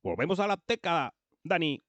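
A man's voice: a little high, big pitch swings, moderate pace, fairly bright tone, dry recording. A man with an accent from Spain, co-hosting football coverage in casual, colloquial, rambling chat. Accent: Spanish from Spain. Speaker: football commentator and co-host.

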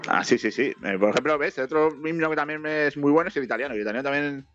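A man's voice: on the high side, fast talker, fairly bright tone, dull audio. A man from Spain with a Spanish accent, chatting casually and colloquially as a football commentator, often repeating himself. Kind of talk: casual match chat and live commentary.

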